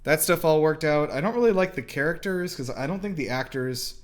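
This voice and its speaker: A man's voice: fairly high pitch, animated, a little fast. A man chatting casually as a host reviewing films, in a rambling, hedging way that often restarts. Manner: rambling, hedging, many self-interruptions. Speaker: movie reaction host. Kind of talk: casual film review chat.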